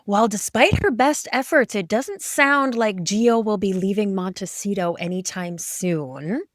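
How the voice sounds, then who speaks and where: A woman's voice: medium pitch, measured pace, no echo, clean studio sound. A woman hosting a podcast in a conversational style, commenting and putting questions to guests. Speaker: podcast host.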